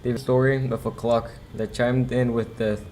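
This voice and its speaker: A man playing an inviting librarian who narrates murder mysteries in a measured 1940s radio style, on a noisy recording. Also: mid-range voice, level tone, darkish tone, natural voice. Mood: inviting, ominous. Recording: noisy amateur recording with clicks.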